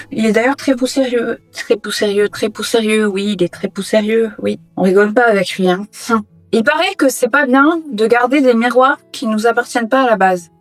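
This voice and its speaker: A woman whose first language is Portuguese, chatting casually with playful, self-mocking asides while she tidies her room as a book vlogger. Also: fairly high pitch. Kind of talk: casual chat while tidying. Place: her own hobby room.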